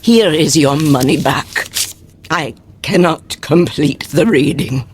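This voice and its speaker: An actress playing a solemn, cryptic fortune teller in a radio drama, speaking in formal mystical pronouncements. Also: female voice, low-pitched, takes it slowly, a little hiss or hum. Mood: stern, guarded.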